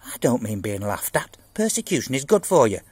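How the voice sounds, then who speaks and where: A man voicing an earnest, pious schoolboy who solemnly recites facts in a storybook reading, with a husky voice. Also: mid-range voice, big pitch swings, fairly quick, darkish tone. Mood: proudly informative.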